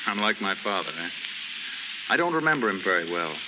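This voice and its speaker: An actor playing an aimless young American son, aggrieved and wryly flippant, in a 1930s radio drama. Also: male voice, lowish voice, big pitch swings, fairly quick. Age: young adult. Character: aimless young wastrel son, aggrieved, wryly self-mocking, fond of drink. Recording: very noisy 1930s radio recording.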